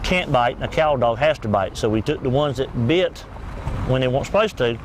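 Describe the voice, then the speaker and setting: A man's voice: medium pitch, brisk, poor audio. Southern American man, polite and plainspoken, outdoor interview, light background noise. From North Carolina. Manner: polite, plainspoken, many "yes, sir"s. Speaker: horse trainer and dog breeder guest. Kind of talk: casual interview. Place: outdoors at a horse event.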